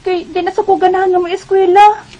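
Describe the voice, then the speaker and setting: A woman's voice: extremely high pitch, little pitch movement, fast, highs cut off. A woman playing a naive, plaintive and stubborn teenage daughter in a Bisaya radio drama, with a Cebuano Filipino accent. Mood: pleading.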